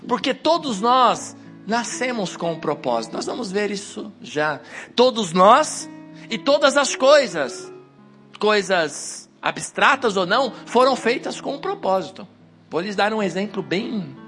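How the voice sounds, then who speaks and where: A man preaching a sermon to a congregation on a handheld wireless mic, hoarse from a cold, exhorting and rising to emphatic peaks. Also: sits high, sing-song, measured pace, fairly bright tone, soft background music.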